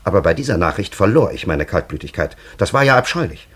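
Ancient sly time traveller in a radio play, really deep husky voice, solemn theatrical storyteller, talks down to people. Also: male voice, sing-song, fast talker, exaggerated voice. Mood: indignant, agitated.

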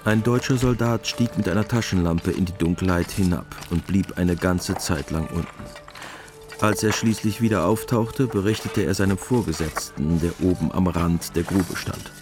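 A man plays a weary war veteran telling his memories in a radio drama. The voice is low and laconic, with a wry resignation, over clear music. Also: moderate pace, natural voice. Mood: resigned. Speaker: narrator. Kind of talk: literary radio drama narration.

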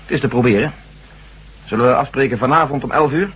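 An actor playing a loyal, hearty military general in a radio drama, reasoning like a cautious friend. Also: male voice, mid-range voice, quick pace, fairly bright tone, muffled recording, lightly acted. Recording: faintly hissy old radio drama recording.

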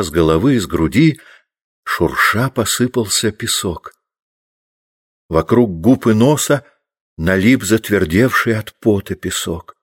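Male audiobook narrator, low register, measured scripted storytelling.

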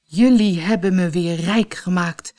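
A woman's voice: on the low side, measured pace, dark tone, natural voice. A woman voicing a young male soldier in a storybook, poor, hopeful and lovestruck, speaking eagerly and expressively. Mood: hopeful, wistful. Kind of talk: storybook character voice.

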